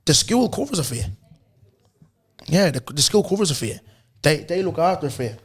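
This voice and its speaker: Hoarse man, chatty podcast host. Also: a little high, a little fast. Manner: loose, animated storytelling with lots of slang and repeated "bro". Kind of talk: casual chat.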